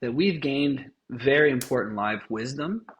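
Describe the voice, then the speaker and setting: Energetic man speaking candidly as a guest. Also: mid-range voice, a little slow, poor audio. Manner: energetic, casual swearing, frequent restarts. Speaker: guest, festival founder. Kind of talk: casual interview conversation.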